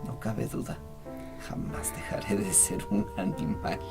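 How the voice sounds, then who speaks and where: A man's voice: sits low, fairly even intonation, unhurried, creaky. An actor playing an awkward, lovesick young male student in a radio drama, stammering and exclaiming. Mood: lovesick, flustered. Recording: music behind the voice.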